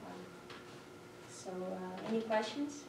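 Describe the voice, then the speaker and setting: A woman from Brazil, a native Portuguese speaker, giving an informal candidate introduction in a hesitant, halting way. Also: medium pitch. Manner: hesitant, halting with frequent pauses. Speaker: school board candidate. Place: indoor public board meeting, far microphone. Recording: roomy, noisy, distant meeting-room microphone.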